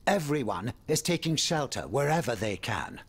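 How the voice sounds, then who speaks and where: Husky, theatrical French aristocrat. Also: male voice, medium pitch, sing-song, moderate pace. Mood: worried, musing. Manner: theatrical, courtly, swinging between exclamation and confiding murmur. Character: urbane male French aristocrat, worried, wry, world-weary. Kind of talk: dramatic video game dialogue.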